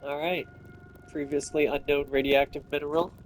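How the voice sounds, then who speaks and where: A man's voice: a little high, level tone, moderate pace, a little hiss or hum. A man giving casual, off-the-cuff gameplay commentary, thinking aloud and muttering to himself as he plays. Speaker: gameplay streamer. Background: faint game music.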